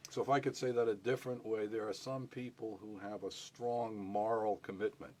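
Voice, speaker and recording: A man's voice: lowish voice, fairly even intonation, moderate pace, warm tone, slight background noise. An older man hosting an interview, asking probing questions and restating his guests' points in plain terms. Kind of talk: conversational interview.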